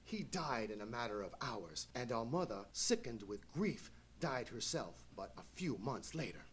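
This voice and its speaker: A man playing an anxious, courteous English lord in a live radio play, with a husky voice and formal, florid speech. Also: mid-range voice, very animated, moderate pace, a little hiss or hum, close to an everyday voice. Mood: haunted, earnest. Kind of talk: live old-time radio play acting.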